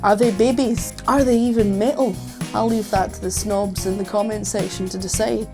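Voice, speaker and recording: Wry Scottish woman with a gravelly edge. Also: medium pitch, steady tone, normal speed, over music. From Scotland. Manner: sarcastic, wry asides. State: losing her voice. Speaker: vocal coach reacting to music. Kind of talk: casual reaction commentary.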